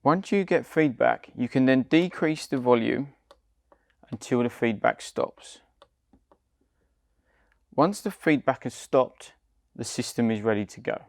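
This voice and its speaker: A British man, around his forties, giving scripted step-by-step product instructions in a measured, deliberate way. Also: medium pitch, creaky, darkish tone, dry recording, studio quality. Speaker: product demonstrator.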